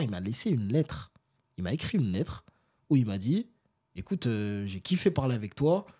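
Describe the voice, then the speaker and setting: A man's voice: medium pitch, a little mellow. Casual, slangy man telling stories. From France. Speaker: interview guest. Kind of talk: casual conversational storytelling.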